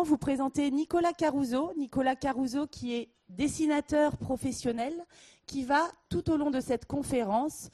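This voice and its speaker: A woman moderates a training conference, introducing the speaker in a formal, measured way. Her voice is high yet mellow and soft-edged. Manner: formal, measured, read from notes.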